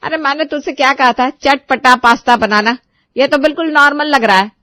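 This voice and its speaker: A woman's voice: on the high side, lively intonation, moderate pace, a bit bright, no room sound. A woman doing a bossy, nagging mother-in-law. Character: demanding greedy middle-aged mother-in-law, taunting.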